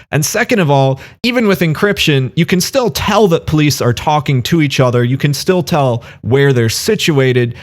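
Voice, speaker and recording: A man hosting a video, giving opinion commentary to viewers in a blunt, conversational, questioning way. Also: fairly high pitch. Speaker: video host.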